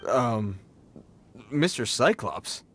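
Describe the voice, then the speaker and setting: A man's voice: mid-range voice, sing-song, drawn-out words, fairly bright tone, exaggerated voice. An actor playing a dutiful, awkward male guard in a video game, formal and earnest but easily flustered. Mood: flustered, resigned. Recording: music behind the voice.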